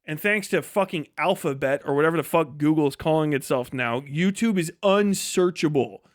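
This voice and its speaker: A man's voice: a little high, normal speed, dry recording, clean studio sound. A man chatting casually on a livestream about his work, rambling and swearing heavily in a sardonic, exasperated way. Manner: heavily profane. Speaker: livestreamer and video creator giving a work update.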